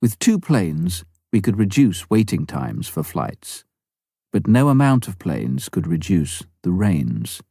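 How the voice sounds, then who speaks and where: Male narrator, mellow tone, dry close sound, scripted memoir reading, measured storytelling, deliberate phrasing. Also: low voice, husky, dry recording. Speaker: audiobook narrator.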